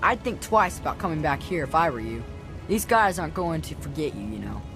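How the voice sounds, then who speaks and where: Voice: mid-range voice, big pitch swings, a little fast, creaky, over music. An actor voicing a blunt, slangy, streetwise kid escaping captivity in a video game. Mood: wary, cocky. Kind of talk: video game character acting.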